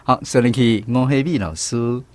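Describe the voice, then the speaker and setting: A man's voice: mid-range voice, normal speed, darkish tone, dry recording. A man with a Taiwanese accent presenting a language segment as a radio host. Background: music bed.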